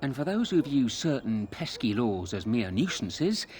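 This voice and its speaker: An actor playing a sly, chummy street thief in a video game, cheeky and ingratiating. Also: male voice, medium pitch, very animated, unhurried, darkish tone. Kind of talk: video game dialogue acting.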